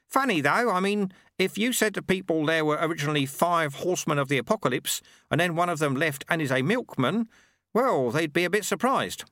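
A man voicing Ronnie, a boastful, touchy former horseman turned milkman, in a storybook reading with a lively, much higher voice. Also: brisk, no room sound, clean studio sound. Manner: chatty, boastful, sour at times.

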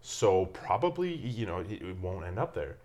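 A man's voice: deep voice, sing-song, moderate pace, a little mellow. A man who hosts a podcast, talking in a laid-back, reflective way with casual swearing. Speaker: podcast host. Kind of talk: casual conversational chat.

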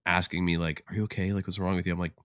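A man with a very low voice tells a long rambling story on a podcast. He swears freely and fills nearly every phrase with "like". The sound is dry and close. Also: level tone, racing, fairly bright tone, dry recording. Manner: profane, rambling storyteller with many "like" fillers. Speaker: podcast co-host. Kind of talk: casual chat.

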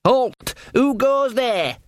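Man playing a high-pitched, hapless young guard. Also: expressive, very slow, dry recording, clean studio sound, exaggerated voice. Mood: officious. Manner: dutiful, whining at his mother. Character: officious, hapless young castle guard, henpecked son.

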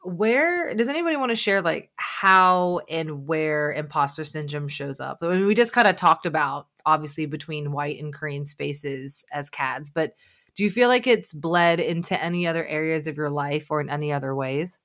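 A woman hosting a casual conversation, asking the group questions in a relaxed, loose way. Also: deep voice, moderate pace. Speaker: podcast host.

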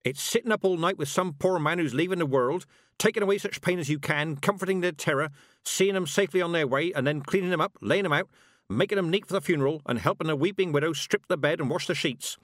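A man's voice: fairly high pitch, fast, fairly bright tone, dry recording, studio quality, pitched above the performer's own voice, lightly acted. A man voicing a stern, blunt, proud old country witch woman with emphatic rustic speech, in audiobook style. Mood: earnest, impassioned. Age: older. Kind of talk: audiobook character acting.

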